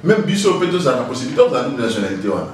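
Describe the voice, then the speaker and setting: Animated man, echoey distant mic. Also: medium pitch, racing, slight background noise. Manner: animated, repeats key phrases, switches into Lingala. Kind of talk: TV studio discussion.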